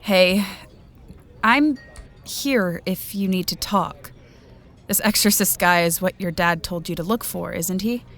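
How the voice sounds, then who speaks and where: A woman's voice: on the low side, slow, a bit bright. An actress playing a weary, caring young woman trucker in an audiobook drama, speaking in a hesitant, reassuring way. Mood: gentle sympathy.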